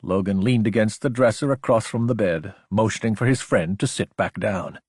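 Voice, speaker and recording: A man's voice: fairly low pitch, normal speed, darkish tone, slightly rough, no room sound, studio quality. A man reading scripted fiction as an audiobook narrator.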